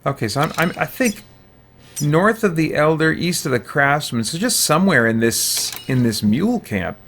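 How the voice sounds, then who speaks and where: A man's voice: fairly high pitch, sing-song, normal speed, a bit bright, slight background noise. A man chatting casually on a gaming livestream, thinking aloud in a rambling, hesitant way and sounding tired late in a marathon. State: tired near end of all-night marathon. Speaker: game streamer.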